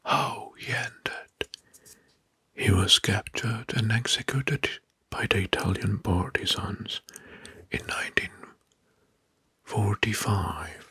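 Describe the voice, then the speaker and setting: A man narrating in a whispered ASMR style, slow and deliberate with a breathy voice, close to the mic. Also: lowish voice. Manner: slow, deliberate, repeats words softly. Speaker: host of ASMR history video. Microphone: close mic, dry.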